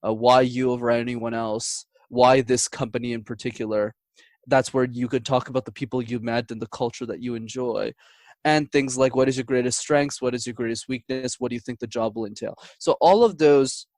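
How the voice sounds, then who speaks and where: A man's voice: mid-range voice, a little mellow, no room sound. A man giving conversational advice as an interview guest, articulate and instructive, laying out points with examples. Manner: articulate, instructive, structured explanations. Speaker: communication coach, interview guest.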